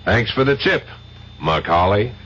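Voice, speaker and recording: An actor playing a wry, easygoing young investigator in a radio drama, in a conversational manner. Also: male voice, on the low side, animated, a little slow. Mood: urgent. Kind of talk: 1970s radio drama acting. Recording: dull, noisy 1970s radio recording.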